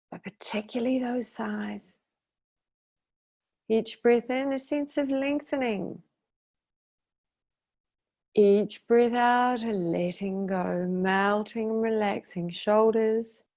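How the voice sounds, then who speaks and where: A woman guiding a meditation as its teacher, speaking in a soothing, unhurried way with long pauses. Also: medium pitch, a little mellow. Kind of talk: guided meditation.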